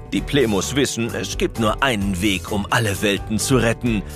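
Over prominent music, a man narrates a children's radio play from a script. His voice is fairly low and moves with lots of expression. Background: loud music.

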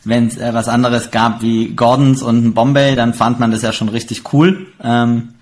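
A man's voice: mid-range voice, little pitch movement, normal speed, a little mellow, slight background noise. A man in his late thirties chatting casually about his own experiences. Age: late 30s. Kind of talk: casual chat.

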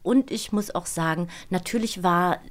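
A woman's voice: fairly low pitch, normal speed. Middle-aged woman chatting as interview guest. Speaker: guest, voice actress. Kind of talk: casual interview chat. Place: podcast studio.

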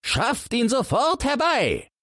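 A man doing a shrill, agitated bird king. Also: huge pitch swings, takes it slowly, a bit bright, no echo, studio quality, exaggerated voice. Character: excitable male king of all birds.

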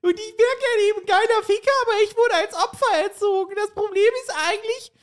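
A man voicing a whiny, lecherous loser who plays the victim in an improvised comedy audio play, with a squeaky falsetto. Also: steady tone, fast. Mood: self-pitying. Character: whiny, lecherous male loser named Dulli with victim mentality.

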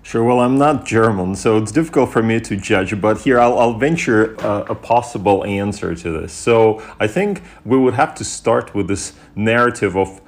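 A man's voice: fairly low pitch, normal speed, few pauses. A man from Russia speaking as an expert interview guest, searching for words and often repeating them. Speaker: guest historian and political commentator.

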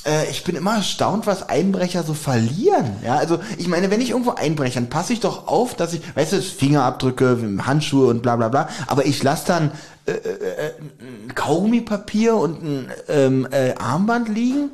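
A man's voice: on the high side, animated, normal speed, few pauses, a little mellow. A young man co-hosting a podcast, chatting casually about an audio drama, joking and laughing often. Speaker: podcast co-host.

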